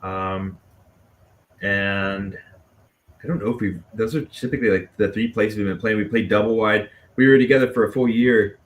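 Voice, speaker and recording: A man from Dallas chatting casually as a band musician being interviewed, halting and self-effacing. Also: on the low side, steady tone. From Dallas, Texas. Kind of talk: casual chat. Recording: clearly noisy background.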